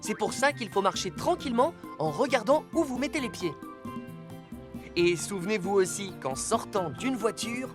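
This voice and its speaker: A woman's voice: mid-range voice, lively intonation, normal speed, lightly acted. A woman voicing a talking rescue police car in a children's cartoon, firm and instructive in manner. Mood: firm teaching. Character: calm, authoritative talking rescue police car giving safety lessons. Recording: music behind the voice.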